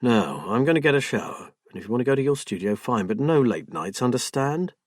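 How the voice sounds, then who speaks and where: A man's voice: very animated, fairly quick, no room sound, studio quality. A man voicing a patient husband, hurt but firm and coaxing toward his wife. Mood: hurt, soothing. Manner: firm, coaxing, low-key.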